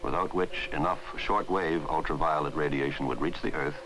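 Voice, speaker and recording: A man reading scripted narration for a 1960s educational film in a measured, formal way. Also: very deep voice, steady tone, background noise. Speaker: documentary narrator. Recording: 1960s film soundtrack, loud music. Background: loud background music.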